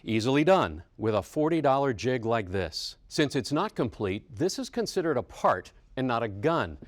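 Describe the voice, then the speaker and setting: A man's voice: medium pitch, big pitch swings, moderate pace, no echo. A man with an American accent reading a scripted television news report as the reporter.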